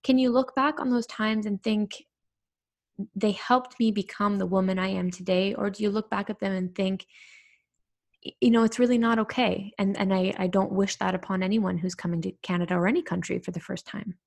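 A woman's voice: mid-range voice, steady tone, a little fast, vocal fry. A woman hosting a podcast, asking long, thoughtful, probing questions. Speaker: podcast host. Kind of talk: interview questions.

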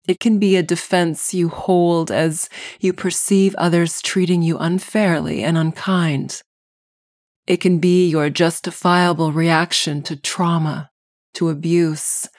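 A woman podcast host narrating a scripted guided meditation in measured, deliberate phrases. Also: on the low side, no echo, clean studio sound.

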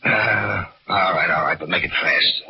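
A man acting a gruff, bossy, impatient crook in a radio drama, speaking curtly. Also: sits low, measured pace. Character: gruff, impatient male crook giving orders. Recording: noisy, dull old radio recording.

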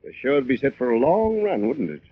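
A businesslike, suspicious police commissioner from an old radio play. His husky voice is very animated, on a thin, phone-like recording. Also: mid-range voice, fairly quick, no room sound. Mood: probing. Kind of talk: old-time radio drama acting.

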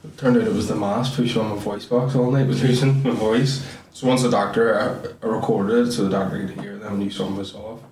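A man's voice: on the low side, steady tone, fairly quick, few pauses, a little mellow, far from the mic, slight background noise. A man, a podcast guest telling his story in an easygoing, laughing way. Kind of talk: casual chat. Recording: echoey room, off-mic.

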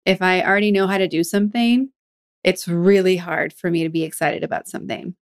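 A woman's voice: fairly low pitch, fairly even intonation, a little fast, clear, resonant tone. A woman hosting a podcast, talking in a curious, chatty way and asking follow-up questions. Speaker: podcast host. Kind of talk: casual interview chat.

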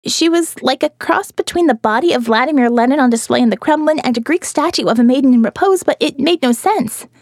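High-pitched woman narrating, dry close sound. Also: a little fast, darkish tone, no room sound. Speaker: audiobook narrator. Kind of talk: dramatic first-person scripted narration.

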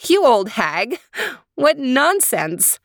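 A woman's voice: medium pitch, big pitch swings, takes it slowly, fairly bright tone, dry recording, clean studio sound, pitched above the performer's own voice. A woman voicing a proud, rude young elder daughter in a folktale, scoffing and dismissive. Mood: haughty, annoyed.

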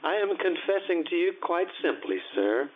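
An actor playing a grave, guarded family physician with a formal, measured manner, in old-time radio drama style. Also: male voice, high voice, sing-song. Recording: tinny narrow-band radio sound, faint hiss.

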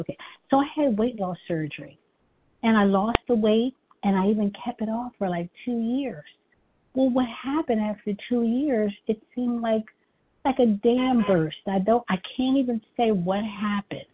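A middle-aged woman with a high, girlish voice, telling her personal recovery story at a meeting in an earnest, halting way with many restarts. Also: husky. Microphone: phone call. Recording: tinny phone line.

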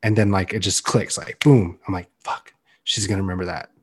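A young man on a podcast, talking earnestly and loudly in a casual chat, with many "like"s. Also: low voice, fairly even intonation, fairly quick, husky. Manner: loud, earnest, heavy use of "like". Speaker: podcast co-host.

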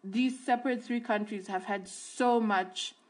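A woman's voice: fairly high pitch, steady tone, unhurried, background noise. Young woman in her 20s, south african accent, thoughtful, laughs easy, just chatting. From Kenya, raised in Botswana. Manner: reflective, laughs easily. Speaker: guest. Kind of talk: casual conversation.

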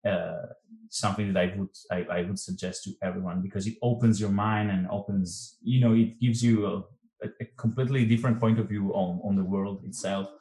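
A man's voice: lowish voice, steady tone, mellow. A man, a musician and non-native English speaker, chatting in an interview over a video call with many restarts and fillers. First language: not English. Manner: halting, many restarts and fillers, laughs easily. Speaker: guest musician. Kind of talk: casual interview chat. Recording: roomy echoing sound.

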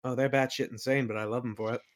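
A man's voice: mid-range voice, steady tone, fast. A man being interviewed as a game master and creator, chatting casually in an informal, enthusiastic manner. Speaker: podcast guest, game master and audio play creator.

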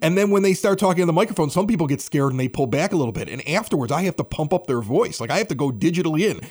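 Animated male podcast host, higher-pitched voice. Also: rapid-fire. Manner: animated radio-announcer delivery. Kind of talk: scripted local news reads and casual bar chat. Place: at a bar.

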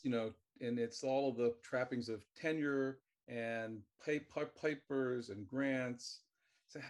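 A man hosting a conversational panel discussion, speaking haltingly as he searches for his words. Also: medium pitch, darkish tone. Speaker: host.

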